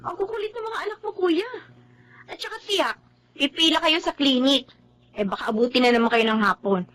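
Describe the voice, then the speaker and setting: An actress playing a vain, rude young office woman with a sharp tongue and a Filipino accent, haughty and dismissive in a TV drama. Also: sits high, a little fast. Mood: curt, dismissive.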